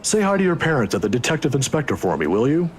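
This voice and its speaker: A woman voicing a kindly old male professor, courteous and gently teasing, for a visual novel. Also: very deep voice, sing-song, fast, a little hiss or hum, voice pushed down. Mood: gentle, advising.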